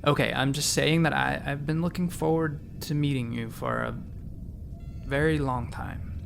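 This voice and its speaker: Earnest young doctor, slightly creaky. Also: male voice, medium pitch, fairly even intonation, normal speed, noisy background. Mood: earnest, admiring. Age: 30s. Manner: sincere, patient, slightly awkward. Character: earnest, eager thirty-four-year-old doctor, easily flustered. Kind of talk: sci-fi comedy audio drama acting.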